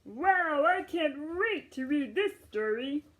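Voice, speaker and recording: A woman voicing a brash, boastful big-mouthed frog puppet for a children's storytime, loud and drawn out. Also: sits high, animated, pitched above the performer's own voice, poor audio. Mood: cheerful, eager. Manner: loud, drawn-out, shouting questions.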